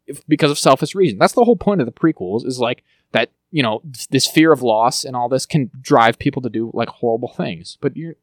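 Male podcast co-host, quick and animated, dry close sound. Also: medium pitch, dry recording. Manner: fast, animated, breaks into quick mock impressions. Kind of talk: casual chat about TV shows.

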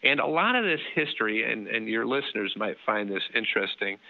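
A middle-aged man telling a family story as an interview guest, relaxed and full of fillers and restarts. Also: fairly low pitch, a little fast, creaky, narrow, tinny audio.

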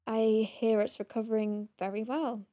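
A woman's voice: a little high, little pitch movement, moderate pace, highs cut off, close to an everyday voice. An actress playing an awkward, apologetic woman in a sci-fi audio drama, speaking in a hesitant, formal way. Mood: stiff, apologetic.

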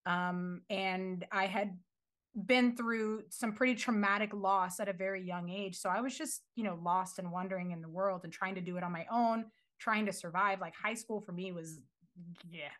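A woman's voice: mid-range voice, fairly even intonation, moderate pace, a bit bright. A young woman telling her faith testimony in casual chat. She talks earnestly, laughs often and fills her speech with "like" and "you know". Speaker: guest sharing her faith story.

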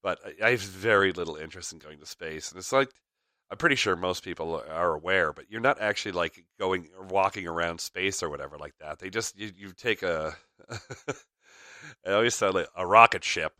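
A man hosting a podcast in a casual, rambling chat, with a slightly raspy voice and lots of fillers and chuckles. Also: low-pitched, brisk, bright, forceful tone, dry recording, studio quality. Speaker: podcast host.

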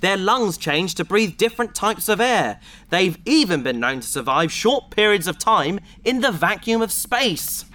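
A man's voice: sits high, very animated, measured pace, pushed and bright, heavily acted. A man playing an earnest, bookish, idealistic space adventurer, explaining with enthusiasm in a sci-fi audio drama. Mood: excited.

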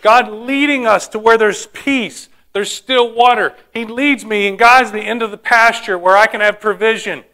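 A man's voice: high voice, fairly even intonation, normal speed, few pauses, sharp tone. A man preaching a sermon, telling stories and putting questions to the congregation. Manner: storytelling, asks the congregation questions. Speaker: pastor.

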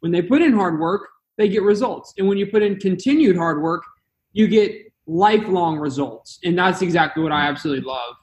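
A man's voice: high voice, animated, brisk, a little hiss or hum. A man in his twenties, a podcast guest who speaks earnestly and often repeats a word as he starts a sentence. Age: 20s. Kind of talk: interview answers.

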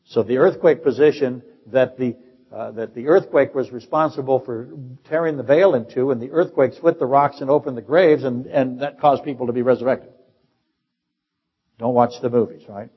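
A man's voice: medium pitch, normal speed, breathy edge. An older man preaching a sermon as pastor, firing off rhetorical questions to teach his congregation. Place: church sanctuary. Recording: dull, echoey church sermon recording.

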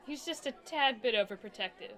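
A woman's voice: on the high side, animated, fairly quick, fairly bright tone, a faint crowd. A woman with an American accent voicing a friendly, easygoing young woman in an audio drama.

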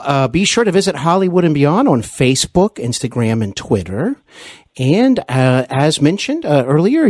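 Animated, courteous male podcast host. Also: mid-range voice, dry recording, clean studio sound. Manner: courteous, complimentary, deliberate. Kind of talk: interview hosting and sign-off address to listeners.

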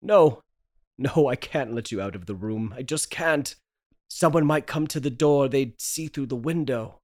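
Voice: mid-range voice, a little slow, a little mellow, lightly acted. A tormented husband from a radio horror play, played by an actor, with a mid-range voice. The delivery is melodramatic and pleading, that of someone guarding a terrible secret. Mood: anxious pleading. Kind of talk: old-time radio drama table read.

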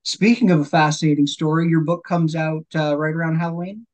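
A man's voice: a little high, steady tone, a little fast. A man speaking as a podcast host in casual, conversational interview chat.

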